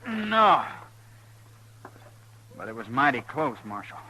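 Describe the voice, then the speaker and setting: Seeking a plain-spoken Western American cowboy fugitive for vintage radio drama: wary, stubborn, swinging expressive pitch. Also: male voice, slow pace, heavily acted, low-quality recording. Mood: shaken. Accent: rural American West. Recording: vintage radio, faint noise and hum.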